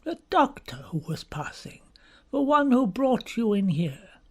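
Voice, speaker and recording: A man reading the part of a grave, sinister middle-aged male country doctor in an audiobook, asking slow, deliberate, probing questions in a breathy voice. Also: very high voice, big pitch swings, pitched above the performer's own voice, full character voice.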